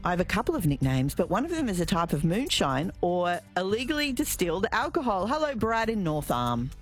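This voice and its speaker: A woman's voice: on the low side, very animated, fairly quick, faint music behind. An Australian woman hosting a casual morning radio show, chatting and reading out listener texts. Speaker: radio host.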